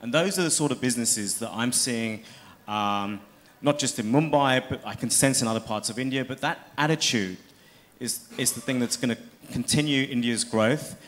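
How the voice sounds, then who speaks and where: A man's voice: medium pitch, normal speed, a bit bright, slight background noise. A man in his thirties speaking earnestly and persuasively as a panelist on a conference stage, with a handheld mic. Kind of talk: panel discussion. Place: large conference hall on stage.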